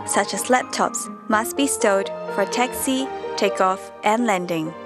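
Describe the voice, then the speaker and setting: A woman reading scripted airline safety instructions in a measured, instructional way. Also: medium pitch. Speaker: narrator of an airline safety video. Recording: music behind the voice. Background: background music.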